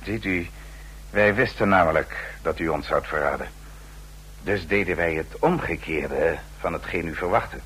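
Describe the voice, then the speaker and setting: An actor playing a sinister, formally polite manservant in a Dutch radio play, speaking with deliberate, clipped courtesy. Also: male voice, deep voice, a bit bright, breathy edge, exaggerated voice. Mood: coolly menacing. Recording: noisy old radio play recording.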